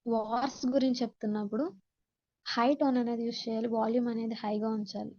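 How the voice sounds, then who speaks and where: Female Telugu-accented instructor, lightly high and very relaxed tone, point-by-point lesson delivery with repeated explanation. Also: quick pace, muffled recording. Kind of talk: slide-based lesson, explaining in Telugu and English.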